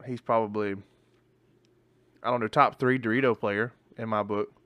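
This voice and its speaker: A man's voice: on the low side, fairly even intonation, moderate pace, a bit bright, smooth, no echo. A male podcast co-host in casual chat, rambling and swearing, often restarting his sentences, with hiccups.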